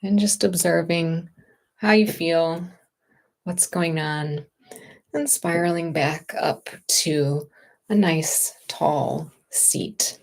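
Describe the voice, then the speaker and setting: A woman meditation teacher with a lowish voice that carries a creaky edge. She guides gentle movement and stillness very slowly, leaving plenty of room between phrases, with a reassuring, unhurried manner.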